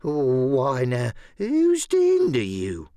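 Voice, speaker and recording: A man voicing a half-wild, childlike, sly old marooned sailor in an audiobook, with a hoarse, rusty voice and halting, rambling rustic speech. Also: mid-range voice, wildly swooping pitch, warm tone, no echo, studio quality, full character voice. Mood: sly, pleased. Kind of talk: audiobook radio-play character acting.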